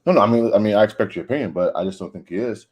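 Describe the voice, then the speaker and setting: A man's voice: lowish voice, racing, a little mellow, slightly rough. A man on a sports debate panel, talking casually and disagreeing firmly, often restarting his phrases as he makes his case.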